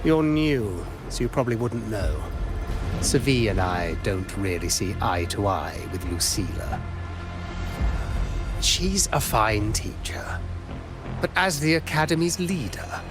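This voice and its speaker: An actor voicing a blunt, resentful academy professor in a video game, grumbling candidly about the leadership. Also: male voice, on the low side, slow, over music. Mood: resentful, dismissive.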